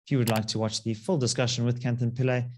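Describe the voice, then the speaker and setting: A man hosting a podcast, reading a scripted intro and asking interview questions in a clear, composed way. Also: mid-range voice, flat delivery, quick pace, darkish tone. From South Africa.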